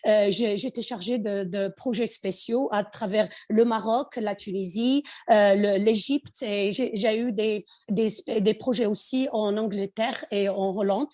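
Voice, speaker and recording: A woman from Morocco with a Moroccan accent, a podcast guest talking conversationally and haltingly, searching for words and slipping in English. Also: mid-range voice, steady tone, darkish tone. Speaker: podcast guest, finance executive. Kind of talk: conversational interview.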